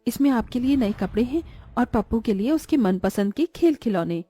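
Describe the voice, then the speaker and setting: Woman as greedy cartoon street seller, persuasive, soft dark timbre, background music. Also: a little high, animated, normal speed, husky, no room sound. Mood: pleased, brisk. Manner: self-justifying, persuasive. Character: greedy, crafty young mother selling street food.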